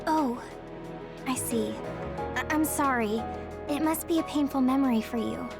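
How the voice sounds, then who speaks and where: An actress playing a tender-hearted, girlish young woman in an English game dub, speaking gently and hesitantly. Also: high-pitched, measured pace, over music. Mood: apologetic. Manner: hesitant, sympathetic.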